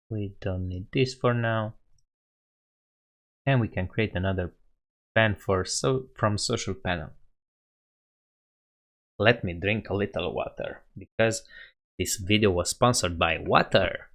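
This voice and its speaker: A man with a Romanian accent hosting a live coding stream, thinking aloud and correcting himself as he types. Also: lowish voice. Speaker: coding livestream host. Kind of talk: casual live coding narration.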